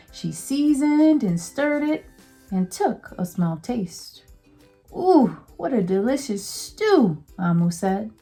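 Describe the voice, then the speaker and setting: A woman's voice: sits high, mellow. A woman teacher giving a children's read-aloud lesson, speaking directly to young listeners in an encouraging way.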